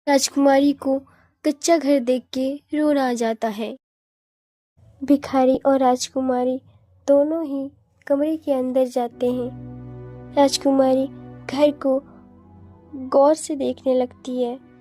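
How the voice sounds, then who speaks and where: A woman's voice: high-pitched, fairly even intonation, slow pace, long pauses, dark tone, soft background music. A woman narrating a scripted children's fairy tale.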